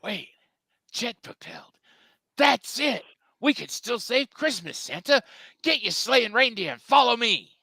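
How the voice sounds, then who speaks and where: Hapless, earnest cartoon hero, voiced by a man: melodramatic, lively swings, dry close sound. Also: very high-pitched, a little slow, gravelly, no room sound, exaggerated voice. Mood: eager. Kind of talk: live dramatic table read of a cartoon.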